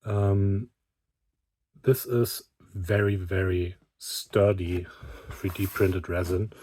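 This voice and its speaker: A man with a fairly low voice talks through an unscripted product review for a hobby video. His delivery is very slow and halting. He often pauses partway through a sentence before carrying on. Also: little pitch movement, dark tone, husky.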